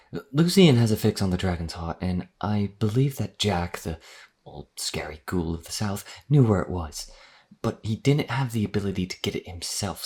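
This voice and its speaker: A man playing a modest, earnest vampire wanderer in a fantasy audio roleplay, explaining things in a hesitant, conversational way. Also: darkish tone. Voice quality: deep, husky.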